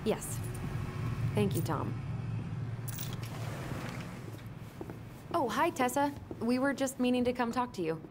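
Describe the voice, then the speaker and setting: A woman's voice: on the high side, lively intonation, normal speed, background noise, natural voice. An actress playing a skeptical, sardonic young woman in a naturalistic video game performance. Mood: polite. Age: young adult.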